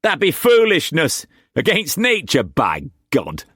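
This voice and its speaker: A man voicing a coarse, boisterous old male sea captain with a rough dialect. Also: fairly high pitch, wildly swooping pitch, unhurried, fairly bright tone, no room sound, studio quality, voice pushed up, exaggerated voice. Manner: coarse, bellowing, dialect-heavy.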